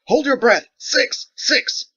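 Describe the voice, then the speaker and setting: A man voicing a brave woman lookout in an audiobook, calling out loud, urgent commands. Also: very high-pitched, expressive, takes it slowly, breathy edge, lo-fi sound, pitched above the performer's own voice, heavily acted. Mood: urgent shouting. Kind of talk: dramatic audiobook character acting.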